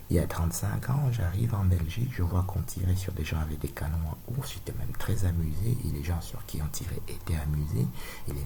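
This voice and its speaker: Man, low gravelly voice, rambling monologue, repeated tag questions. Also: warm tone, a little hiss or hum. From Cameroon. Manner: digressive, peppered with tag questions, insistent. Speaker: video lecturer.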